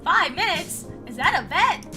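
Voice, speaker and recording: Woman as smug storybook cat politician, bombastic and rude, very high-pitched, over music. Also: lively intonation. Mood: scornful. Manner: pompous, drawn-out boasting. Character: arrogant, insulting male cat politician parodying a bombastic president. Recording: music behind the voice.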